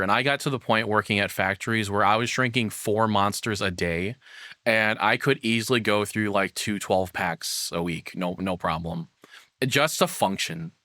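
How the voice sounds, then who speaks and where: A man's voice: lowish voice, bright, forceful tone, no echo, clean studio sound. A young man giving casual, opinionated reaction commentary, exclaiming and swearing as he goes. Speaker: reaction video host.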